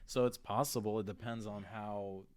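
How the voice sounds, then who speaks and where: A man in his thirties hosting a podcast, talking in an animated, playful way on a stand mic in an office room. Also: lowish voice, normal speed, no room sound. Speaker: podcast host. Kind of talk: casual conversation. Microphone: studio mic on a stand.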